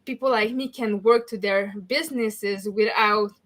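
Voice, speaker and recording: A woman's voice: medium pitch, measured pace, fairly bright tone. A woman from Quebec, a native French speaker with a Québécois accent, chatting casually as a guest in giggly, imperfectly phrased English. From Quebec, Canada. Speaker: interview guest. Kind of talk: casual chat.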